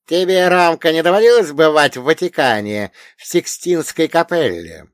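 A man voicing a wise, centuries-old dead grandfather in an audiobook, telling old lore in an emphatic, drawn-out, lecturing way. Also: a little high, animated, no room sound, clean studio sound, voice pushed up, lightly acted. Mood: mysterious. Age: older. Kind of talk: audiobook character voice.

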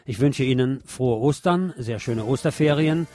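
A man's voice: medium pitch, normal speed, a little mellow. A man hosting a radio programme, presenting and reading aloud in a formal, courteous manner. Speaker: radio show host. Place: radio broadcast.

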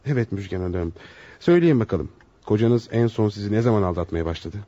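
Fast-talking, husky, courteous doctor. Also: male voice, lowish voice, lively intonation, warm tone, no echo. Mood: reassuring. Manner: courteous, soothing, formal. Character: composed, reassuring male doctor, courteous with patients. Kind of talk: old radio theater acting. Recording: dull, lo-fi old radio drama.

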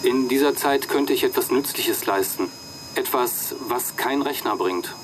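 Actor as male applicant, mid-pitched, glib, casual, self-assured, radio play, faint ambience. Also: a little slow, slight background noise, lightly acted, poor audio. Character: self-assured male job candidate dodging sums. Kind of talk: radio drama acting.

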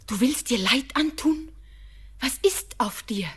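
An actress playing an innocent, tender young village girl in a classic radio drama, speaking lyrical, earnest with hushed phrases. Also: a little high, animated, takes it slowly, slightly rough, exaggerated voice. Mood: anxious, hushed. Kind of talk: classic German radio drama, poetic stage diction.

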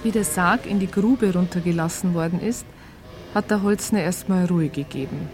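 Actress, woman radio drama narrator, slightly low pitch, wry, dry storytelling, music in background. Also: moderate pace, a little mellow, natural voice. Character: wry woman storyteller recounting village events. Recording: music behind the voice.

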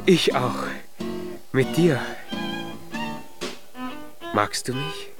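An actor playing a kind, shy young giant as small as a dwarf, hesitant and earnest, in a children's radio play. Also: male voice, medium pitch, expressive, extremely slow, over loud music. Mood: shy, tender. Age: young adult.

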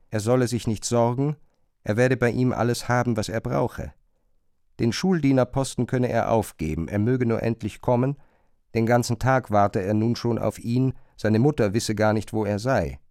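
A man's voice: fairly low pitch, normal speed, a little mellow, no echo. Male literary narrator, close dry sound. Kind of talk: scripted literary narration in radio drama.

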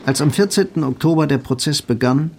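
A man giving measured, sober narration of a literary radio drama. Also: fairly high pitch, creaky, heavy background noise.

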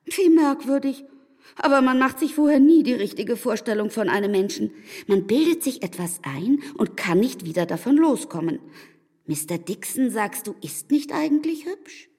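An actress playing a gushing, rambling elderly spinster in a radio play, jumping from thought to thought. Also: female voice, fairly high pitch, animated, normal speed, dark tone, breathy edge. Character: chattering elderly spinster, kindly and breathless. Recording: studio radio play with long reverb and music.

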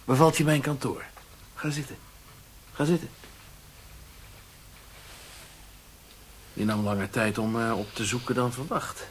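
An actor playing a fat, shady Chinese merchant in a radio play, mocking and philosophical, with a deliberate, taunting way of talking. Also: male voice, medium pitch, a little hiss or hum, close to an everyday voice. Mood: commanding, sly. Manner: sardonic, taunting, deliberate, given to aphorisms.

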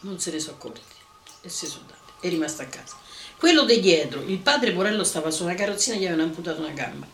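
Fast-talking older Roman woman, echoey room. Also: lowish voice, animated, fairly bright tone. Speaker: witness recalling wartime. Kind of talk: spoken testimony. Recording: roomy, slightly noisy archive interview.

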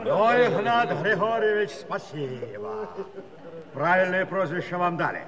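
An actor playing a hearty, declamatory Cossack villager who leads the others in a musical comedy. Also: male voice, high-pitched, lively intonation, a little slow. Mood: grateful. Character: rousing, grateful male Cossack villager, hearty ringleader. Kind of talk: theatrical musical comedy dialogue. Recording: distant, echoing studio with crowd.

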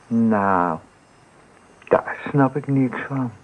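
A woman's voice: very deep voice, extremely slow, narrow, tinny audio, heavily acted. An actress playing a suspicious, gossiping neighbour woman in a radio drama, indignant in manner, on a thin old recording.

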